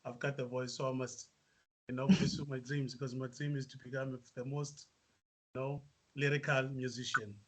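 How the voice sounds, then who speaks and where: A South African musician answering interview questions, hesitant with many fillers. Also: male voice, medium pitch, level tone, normal speed, low-quality recording. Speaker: musician guest.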